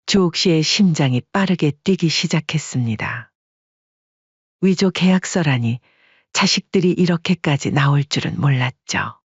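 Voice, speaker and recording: Story narrator, male, husky voice, mid pitch, dry close sound. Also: unhurried, dry recording. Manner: steady storytelling. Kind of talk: scripted audiobook narration. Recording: dry, dull lo-fi recording.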